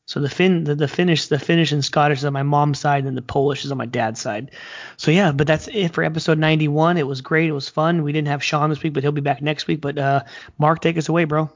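A man co-hosting a casual podcast, talking in an excitable, slangy way full of "like" and "dude". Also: fairly high pitch, lo-fi sound. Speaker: podcast co-host. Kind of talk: casual chat.

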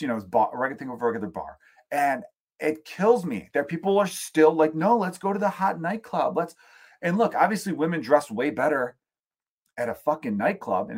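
An American man in his late thirties with a medium-pitched voice. He rants casually as a comedy podcast host, swearing freely and sounding exasperated and emphatic. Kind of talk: casual ranting monologue.

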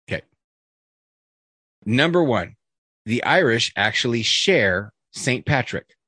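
A man in a casual podcast chat, reading a list aloud and breaking off into jokey asides. His voice sits at an ordinary middle pitch, full of "uh"s and restarts. The sound is dry and close, with no room around it. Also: a bit bright, no room sound. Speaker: podcast co-host.

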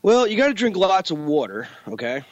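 A congested young man co-hosting a casual podcast, rambling through fillers and loose asides. His voice sits in an ordinary middle pitch. The dry, slightly dull recording has no room sound. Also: big pitch swings, a little fast, no room sound. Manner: rambling, filler-heavy, laid-back. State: congested with bronchitis. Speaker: podcast co-host. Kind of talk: casual chat.

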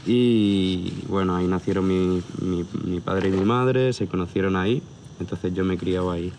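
A man's voice: deep voice, moderate pace, a little hiss or hum. A man of about thirty from near Barcelona, a tattoo artist chatting casually and rambling a little in an outdoor interview. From from near Barcelona, Spain. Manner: relaxed, rambling, with hesitations. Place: outdoor hilltop viewpoint at sunset. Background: crickets and outdoor ambience.

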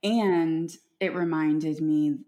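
A woman's voice: low-pitched, fairly even intonation, very slow, dull audio. A woman interviewer in casual conversation, chatty and affirming as she shares stories and asks questions. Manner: warm, affirming, chatty storyteller.

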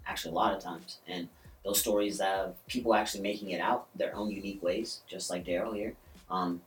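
A man co-hosts a podcast and tells a story loosely, full of ums and restarts. His voice sits on the low side. He sits some way from the mic in a roomy space, with soft music behind. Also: fairly even intonation, fairly quick. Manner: rambling, with many ums and "basically". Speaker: podcast co-host. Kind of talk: casual storytelling chat. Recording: roomy echo, distant from the mic.

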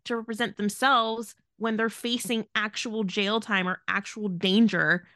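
A woman's voice: medium pitch, fairly even intonation, measured pace, bright, forceful tone, no echo, studio quality. A woman co-hosting a podcast in casual, opinionated chat, blunt, exasperated and emphatic. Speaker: podcast co-host.

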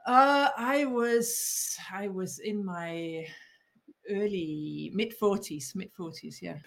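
Woman, 50s, Danish accent, mid-pitched, thoughtful, explaining, interview guest. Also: slow, vocal fry, fairly bright tone. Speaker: author and mindfulness teacher. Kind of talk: interview answers.

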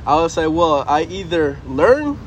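A man's voice: fairly high pitch, big pitch swings, measured pace, slight background noise, rough recording. A young man from Venezuela, a native Spanish speaker with a Venezuelan Spanish accent, talking casually and earnestly in an interview as a college baseball player. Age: young adult. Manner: earnest, loose, frequent "man" and "you know".

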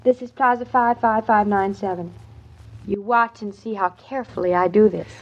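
A woman's voice: mid-range voice, steady tone, normal speed, lightly acted. An actress playing an eager, naive young expectant wife in 1940s radio comedy-drama style, chatty and girlish. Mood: fretful. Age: young adult. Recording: dull lo-fi vintage radio recording.

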